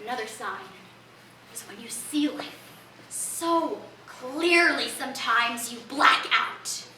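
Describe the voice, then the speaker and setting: An actress playing an eccentric street woman who waits for space aliens, talking in a raspy voice and rambling confrontationally at passersby with cackling laughs in a theatrical comic monologue. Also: a little high, animated, slow, bright, forceful tone, a little hiss or hum, heavily acted. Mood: musing. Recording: roomy, distant mic.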